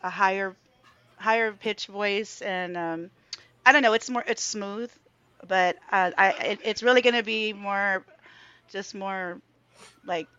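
Young woman singer, hesitant, searching for words, smooth voice, bit muffled. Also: medium pitch, unhurried, pauses often, fairly bright tone, no echo. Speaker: singer and guest. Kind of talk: casual interview chat.

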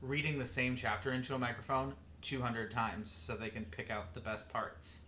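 A man's voice: medium pitch, fairly even intonation, moderate pace, sharp tone, a little hiss or hum. A young man co-hosting a casual podcast, rambling with lots of fillers and swearing freely. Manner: loose, swears a lot, rambling with many fillers. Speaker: podcast co-host.

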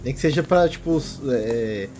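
A man's voice: mid-range voice, animated, unhurried, a little mellow, a little hiss or hum. A man with a Brazilian accent playing a tabletop RPG, improvising casually in colloquial, repetitive phrases. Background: faint music.

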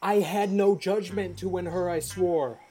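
A woman's voice: fairly low pitch, fairly even intonation, measured pace, dark tone, husky. A woman playing an earnest, lovestruck young male suitor in a Shakespearean verse radio play, pleading emphatically and theatrically. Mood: insistent, low.

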